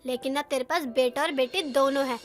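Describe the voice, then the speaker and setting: A woman's voice: high-pitched, a little fast, natural voice. A woman playing a chatty, well-meaning neighbour in a Hindi cartoon story, gossipy and full of advice. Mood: cajoling. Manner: gossipy, advising, exclaiming. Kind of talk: animated moral story acting.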